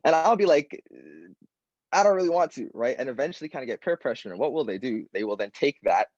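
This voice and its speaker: A young man speaking at an open mic panel, talking earnestly and directly and quoting people in lively voices. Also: medium pitch, fast, no echo, muffled recording. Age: young adult.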